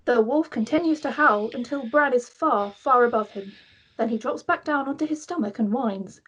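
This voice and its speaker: A woman narrates screenplay directions at a table read. Her voice is a little high with a breathy, husky grain, and she reads in a scripted storytelling style. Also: normal speed, low-quality recording.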